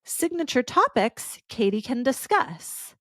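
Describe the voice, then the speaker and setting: A woman's voice: a little high, very animated, a little slow, a bit bright, dry recording. A woman hosting a solo podcast, talking casually with lots of "like" fillers, her voice stuffy and congested. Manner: chatty, casual, with frequent "like" fillers. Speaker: podcast host.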